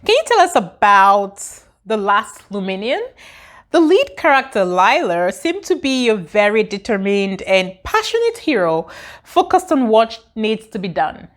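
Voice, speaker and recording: A woman's voice: medium pitch, very animated, a little slow. A woman co-host reading a scripted, formal guest introduction and questions. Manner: read-out, formal.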